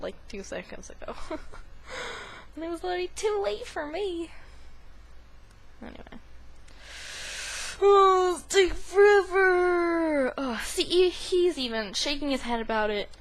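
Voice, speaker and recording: A woman giving casual, silly commentary while playing a video game, with sing-song asides. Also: sits high. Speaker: gaming video host. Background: video game sound.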